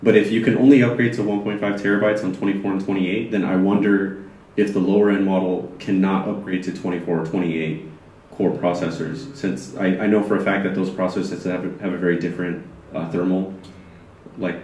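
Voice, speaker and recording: Lowish guy talking hardware at a meetup, distant mic, roomy echo. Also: steady tone, fairly quick, darkish tone. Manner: technical, thinks aloud with restarts. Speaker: meetup attendee. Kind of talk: casual group discussion. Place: meetup room. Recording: roomy, from far off, faint noise.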